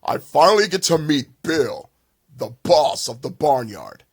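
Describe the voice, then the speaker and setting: A man's voice: fairly high pitch, sing-song, measured pace, breathy edge, heavily acted. A man voicing a huge old bull, stern and commanding, for a children's story. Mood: imposing.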